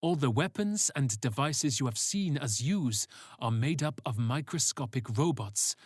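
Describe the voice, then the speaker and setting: A narrator voicing a calm, serious male fighter who explains things in a sci-fi story. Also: mid-range voice, measured pace, husky, no room sound, clean studio sound. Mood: calm, grave.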